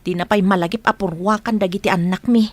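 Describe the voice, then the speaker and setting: A woman's voice: mid-range voice, fairly even intonation, fast talker, natural voice. A woman playing a proud, hurt and dismissive abandoned wife in a Filipino radio drama. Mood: bitter, dismissive.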